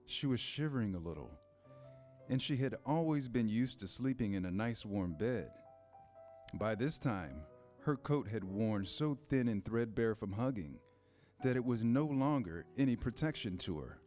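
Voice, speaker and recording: A man's voice: medium pitch, lively intonation, moderate pace, darkish tone. Man narrating a children's storybook over music. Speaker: narrator in a church reader's theater.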